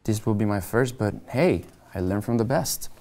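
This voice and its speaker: A man playing an eager, cocky but jittery rookie realtor in a screenplay table read, speaking conversationally and a bit haltingly. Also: on the low side, darkish tone, lightly acted. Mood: flirty, cocky.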